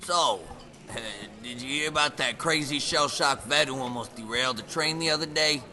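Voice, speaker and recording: A man's voice: medium pitch, normal speed, bright, forceful tone, faint music behind. A man playing a chatty, amused gangster thug in an old-time radio drama. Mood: amused, gossipy. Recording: busy crowd behind.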